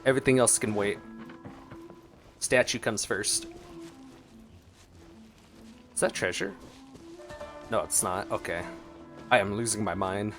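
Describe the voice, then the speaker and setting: A man giving casual, expressive gameplay commentary, talking to himself playfully as he plays. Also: fairly low pitch, a little slow, long pauses. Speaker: gaming streamer. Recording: game music behind voice. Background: video game music.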